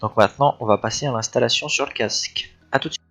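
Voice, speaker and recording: A man talks through a product review in a casual, hesitant way, thinking aloud. His voice is mid-pitched and clearly bright, with music playing behind it. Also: quick pace. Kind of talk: casual unboxing and review talk.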